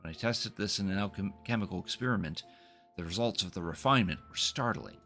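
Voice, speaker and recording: Guy with a low forceful voice reading game text on stream, trips over words a bit, music in back. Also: fast talker. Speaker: game livestream host. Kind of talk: reading game dialogue aloud and chatting to stream viewers. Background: video game music.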